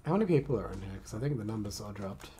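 A woman's voice: extremely low pitch, expressive, rapid-fire, dark tone, faint music behind. A young woman chatting on a stream in a laid-back, grumbling way, swearing now and then. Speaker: streamer and artist. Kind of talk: casual stream chat.

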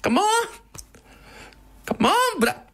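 A man's voice: measured pace, a bit bright, natural voice. An actor playing a flamboyant, effeminate, scheming villain man in a radio drama, campy, theatrical and wheedling. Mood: pleading. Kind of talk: Japanese radio drama acting.

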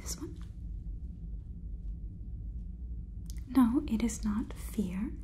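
A woman's voice: on the high side, animated, unhurried, dark tone, slight background noise. A woman in her twenties voicing a polite, faintly condescending female AI robot overlord in a whispered ASMR roleplay, with stilted, soothing phrasing. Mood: quizzing, deadpan. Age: 20s. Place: small room indoors.

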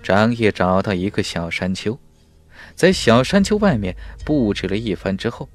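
A man's voice: on the low side, expressive, moderate pace, faint music behind. A man narrating a fantasy audiobook in a dramatic, scripted storytelling style.

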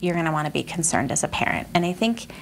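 A woman's voice: deep voice, lively intonation, gravelly, slight background noise. A woman doctor explaining warning signs in an interview. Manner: explanatory, measured. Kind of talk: expert interview.

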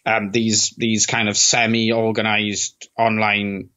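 A man's voice: fairly low pitch, flat delivery, slow pace, bright, forceful tone, highs cut off. Chatty South Welsh man, rambling. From South Wales. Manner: rambling, self-deprecating, many restarts. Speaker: podcast guest. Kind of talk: casual interview chat. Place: small home office. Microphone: headset with studio mic on video call.

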